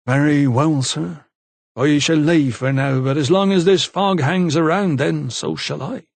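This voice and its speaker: A man voicing an insolent, menacing young male troublemaker who speaks calmly with a smirk. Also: a little high, a little slow, no echo, voice pushed up. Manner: calm, smirking, impudent.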